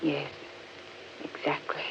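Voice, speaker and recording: A woman's voice: slow pace, slight background noise, lightly acted. An actress playing a weary, frightened woman in a 1940s radio horror play, with a dramatic delivery. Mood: hushed, uneasy. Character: weary, frightened woman fleeing a cursed forest. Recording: dull 1940s radio recording.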